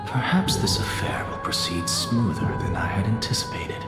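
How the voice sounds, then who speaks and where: A man's voice: on the low side, moderate pace, whispered, close to an everyday voice. A man playing an arrogant scholar in a fantasy game, speaking formally with dry, sardonic wit. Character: arrogant, sardonic male scholar and professor, blasphemous. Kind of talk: fantasy video game acting. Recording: echoey reverberant hall with music behind.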